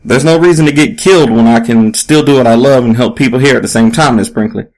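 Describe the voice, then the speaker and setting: A man's voice: medium pitch, fast, darkish tone, natural voice, low-quality recording. A man playing a proud, guarded retired boxing champion in an audiobook story, speaking in a matter-of-fact, evasive way.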